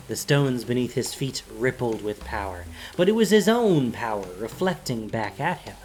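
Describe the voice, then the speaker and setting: Lively male fantasy narrator over music. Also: medium pitch, rough recording. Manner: dramatic, deliberate storytelling. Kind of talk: scripted dramatic narration.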